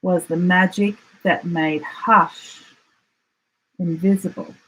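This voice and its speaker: Children's storyteller: Australian woman, slightly husky, slow, expressive, dramatic emphasis. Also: lowish voice, low-quality recording. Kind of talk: children's picture book read-aloud.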